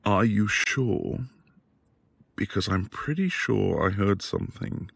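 A man acting as a devoted, flirtatious husband in an intimate ASMR roleplay, breathy and affectionate, often dropping toward a whisper. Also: lowish voice, sing-song, a bit bright, no room sound, exaggerated voice. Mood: teasing.

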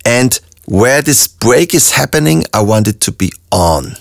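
A young man with an Austrian German accent, a music producer calmly walking through a software tutorial step by step with frequent ums. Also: mid-range voice, unhurried, gravelly, no room sound, clean studio sound. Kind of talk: screen-recorded software tutorial.

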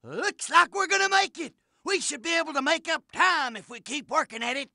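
An actor playing a folksy, excitable, earnest train engineer in an animated video game, exclaiming in urgent rhymes. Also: male voice, fairly quick, dry recording, studio quality, exaggerated voice. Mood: earnest, encouraging. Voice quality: high-pitched, creaky. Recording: loud engine noise behind.

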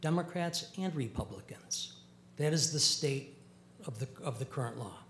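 A man in his fifties speaking formally as a lawmaker at a public hearing, giving a measured, halting rebuttal into a desk microphone. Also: mid-range voice, animated, a bit bright. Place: large indoor legislative hearing room, seated at the dais. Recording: echoey chamber with hum.

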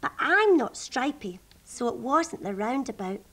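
A woman's voice: high voice, big pitch swings, measured pace, a bit bright, heavily acted. A woman voicing a curious, thoughtful female storybook character who puzzles aloud with emphatic wonder for young children. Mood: pondering.

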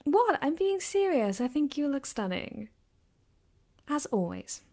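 Soft, slow-talking caring girlfriend, acted by a woman. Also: high-pitched, very animated. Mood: affectionate. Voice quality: soft, gentle, sometimes dropping to a whisper. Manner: hesitant, affectionate, reassuring. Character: caring, doting young girlfriend, affectionate and reassuring. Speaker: ASMR roleplay performer. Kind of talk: ASMR girlfriend comfort roleplay.

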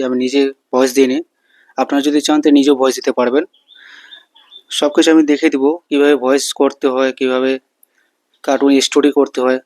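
A man's voice: medium pitch, darkish tone, no room sound. A man hosting a casual step-by-step tutorial, talking the viewer through it. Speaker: tutorial host.

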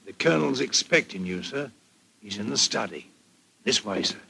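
An actor playing a surly, unpleasant manservant in a 1940s radio drama. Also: male voice, fairly low pitch, animated, normal speed, gravelly, slight background noise, exaggerated voice. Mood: sinister, servile. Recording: old radio recording with loud music.

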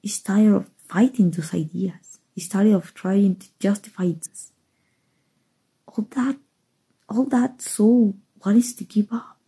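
A woman acting a kind, earnest human rescuer in a soft ASMR roleplay, in a gentle, reassuring manner. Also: medium pitch, slow, full character voice, rough recording. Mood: tender, reassuring. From Mexico. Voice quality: soft, breathy, husky.

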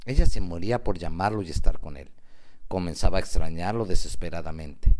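A man narrating a scripted radio novel as a dramatic, measured storyteller. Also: low-pitched, mellow.

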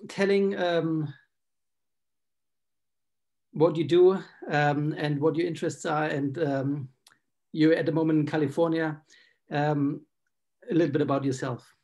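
A man hosts an interview over a webcam from a small room. His voice sits a little high for a man, and he fills his questions with frequent ums. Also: steady tone, a little slow, pauses often, darkish tone. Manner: friendly, many filler ums. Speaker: host, doctor. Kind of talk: hosting an online interview. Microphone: video call webcam.

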